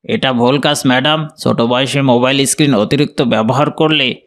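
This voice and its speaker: A man's voice: medium pitch, fairly even intonation, fairly quick, a bit bright, close to an everyday voice. A man playing a grave doctor who explains and admonishes formally in a scripted cartoon story. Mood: admonishing. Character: grave male eye doctor advising a parent.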